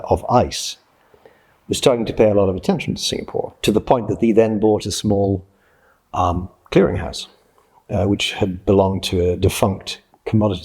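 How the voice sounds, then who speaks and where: A man of about sixty from Scotland, giving reflective interview answers in a measured, explanatory way, often correcting himself. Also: lowish voice, darkish tone, slightly rough. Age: late 50s to early 60s. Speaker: interviewee, journalist and freelance writer.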